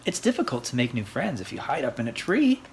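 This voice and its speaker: A storyteller voicing a young male bunny in a children's storybook, earnest, sensitive and friendly, with expressive swoops. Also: mid-range voice, quick pace. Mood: friendly, polite. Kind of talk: children's storybook read aloud. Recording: faint background noise.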